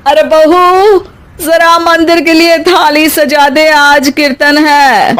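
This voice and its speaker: Cartoon moral story: chatty elderly mother-in-law, voiced by a woman, on the high side. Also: little pitch movement, takes it slowly, fairly bright tone. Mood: brusque, distracted. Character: bored, chatty elderly Indian mother-in-law, warming to kindness.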